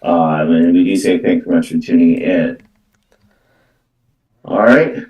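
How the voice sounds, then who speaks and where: Low-voiced man in an echoey room. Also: steady tone, darkish tone. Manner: halting, repetitive, peppers phrases with "though" and "actually". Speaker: psychic medium host giving live card readings. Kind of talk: unscripted live-stream talk. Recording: roomy echo, distant from the mic.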